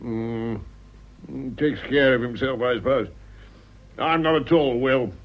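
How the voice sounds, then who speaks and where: An actor playing a grumpy, blunt old lord with a British accent in a radio drama, curt and grumbling. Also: male voice, mid-range voice, unhurried, muffled recording, close to an everyday voice. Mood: irritable, grumbling.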